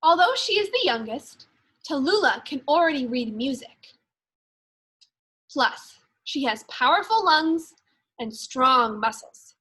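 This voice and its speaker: A woman teacher reading a storybook aloud for young children, expressively and in measured phrases. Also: high-pitched, expressive, bright, forceful tone, low-quality recording. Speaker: second grade teacher.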